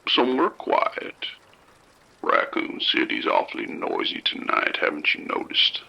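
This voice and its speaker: A man doing a creepy abductor with a voice sunk way down low and full of grit, husky and breathy at the edges. he drawls slowly but his tone swoops up and down in lively turns, soothing and menacing at once in this audio drama. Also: dull audio, heavily acted. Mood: coaxing menace. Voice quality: gravelly whispery voice. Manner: slow, coaxing and unhurried. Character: creepy soft-spoken male abductor, calm and menacing.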